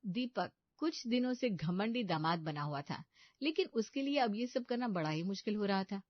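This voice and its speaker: A woman's voice: medium pitch, animated, moderate pace, no room sound. Lady narrating a comedy story, mid voice, dry bit dull audio.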